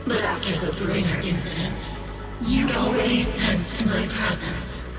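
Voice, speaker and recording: Game villain: menacing female phantom, unhurried and coolly accusing, drenched in echo over music. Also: medium pitch. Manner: taunting, deliberate, coolly accusing. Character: menacing, taunting female phantom from the heroine's past. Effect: echoing reverb. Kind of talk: dramatic video game villain acting. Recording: heavy echo, distant, music behind.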